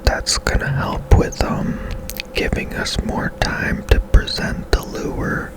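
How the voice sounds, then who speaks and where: A game host giving ASMR gameplay commentary in a soft, breathy whisper, gentle and unhurried with pauses. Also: low-quality recording.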